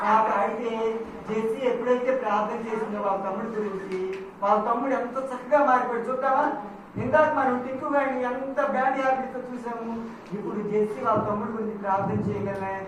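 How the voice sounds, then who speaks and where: High-voiced woman teaching children in echoey hall. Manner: instructing, with questions and prompts. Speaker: Sunday school host talking with puppets. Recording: echoey hall, distant mic, clear noise.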